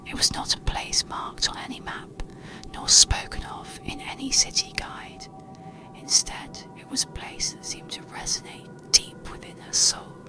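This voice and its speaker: A narrator reading a bedtime story in a soothing, gentle, breathy ASMR whisper, with deliberate phrasing. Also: very high voice, low-quality recording.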